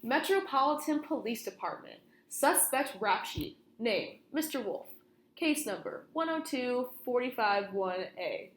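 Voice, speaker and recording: A young woman reads a children's book aloud in a fairly high voice. The room is roomy and echoing, and her delivery is that of storytelling for kids. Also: lively intonation, a little slow, a little hiss or hum. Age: young adult.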